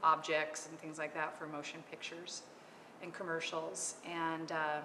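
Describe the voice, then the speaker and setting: A middle-aged woman with a low voice speaks hesitantly, filling her pauses with ums. She is on a live panel in an art gallery, and her voice rings with a long reverb over a clear hum of noise. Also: little pitch movement, sharp tone. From California. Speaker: panel artist. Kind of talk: unscripted panel talk.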